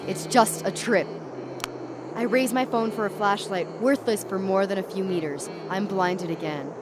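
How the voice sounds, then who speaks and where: A woman's voice: medium pitch, a little hiss or hum, close to an everyday voice. An actress playing a panicky young woman on acid, telling her horror story in the first person in an urgent, confiding way. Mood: uneasy, dread. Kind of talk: first-person present-tense horror narration, dramatic.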